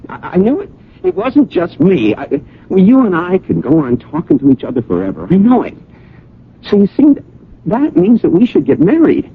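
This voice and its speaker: An actor playing an earnest, lovesick suitor in a radio drama, halting and emotional as he presses with anxious questions. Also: high voice, huge pitch swings, slight background noise, heavily acted. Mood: eager, unsettled. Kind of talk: old radio drama acting. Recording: dull old radio broadcast.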